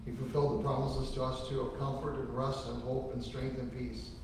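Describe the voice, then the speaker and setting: A man's voice: medium pitch, flat delivery, a little fast, a little mellow, slight background noise. Middle-aged male pastor, earnest folksy funeral preacher, roomy church sound from far off. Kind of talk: funeral sermon. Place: large church sanctuary. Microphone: lectern microphone from a far camera.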